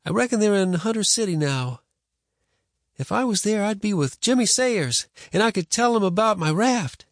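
A man acts out a young boy of the frontier in a storybook, earnest and stubborn yet respectful. The pitch sits high and moves a lot, with folksy rural phrasing in a dry recording. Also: expressive, moderate pace, no echo. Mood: wistful.

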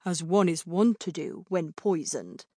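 A woman's voice: fairly low pitch, unhurried, warm tone, no echo, clean studio sound, pitched below the performer's own voice. A woman voicing a bitter, bedridden king in an audiobook, with a drawling, dryly sarcastic manner. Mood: sardonic. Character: bedridden poisoned young king, sardonic and bitter.

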